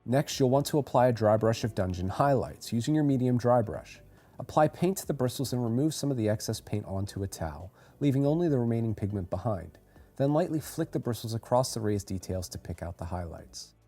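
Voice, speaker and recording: A man reading a scripted how-to voice-over as a tutorial narrator, giving clear step-by-step instructions. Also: mid-range voice, animated, a little fast, dark tone, soft background music.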